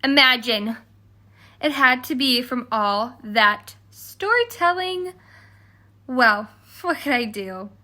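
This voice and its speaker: A woman's voice: on the high side, lively intonation, takes it slowly, sharp tone, full character voice. A woman reading a children's storybook as a haughty, exasperated stepmother who tells her side with dramatic emphasis. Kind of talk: scripted children's storybook read-aloud.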